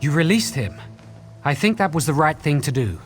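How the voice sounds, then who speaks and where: Earnest, higher-voiced quest companion. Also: male voice, big pitch swings. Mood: earnest, counselling. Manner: measured, earnest. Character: earnest, thoughtful male companion on a fantasy quest. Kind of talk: video game character dialogue. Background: music.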